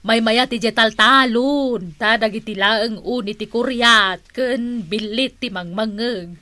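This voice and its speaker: A woman's voice: fairly high pitch, slow pace, bright, forceful tone, no echo. A woman playing a playful, theatrical auntie in a comic radio drama. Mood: teasing, lovestruck.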